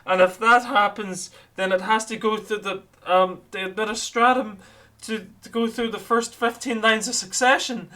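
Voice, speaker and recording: A man's voice: sits high, fairly even intonation, normal speed, bright, forceful tone. A man voicing a harried male bureaucrat, rambling and tangled in rules and forms, over an online voice chat mic. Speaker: player.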